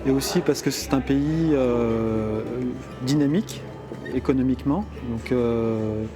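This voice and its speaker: A man giving a personal testimonial in an interview, in hesitant, measured phrases. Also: medium pitch. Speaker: immigrant father. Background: music bed.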